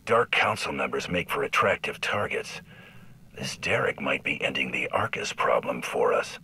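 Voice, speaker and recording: A man's voice: extremely low pitch, sing-song, normal speed, sharp tone, whispered, heavily acted. A man playing a tough mercenary bounty hunter in a video game, terse, dry and cool.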